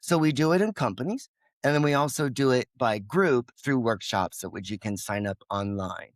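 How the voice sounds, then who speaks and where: A man's voice: medium pitch, lively intonation, moderate pace, no room sound. A man who is a podcast guest, speaking in an emphatic, passionate way and now and then acting out his own inner voice. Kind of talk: energetic interview talk.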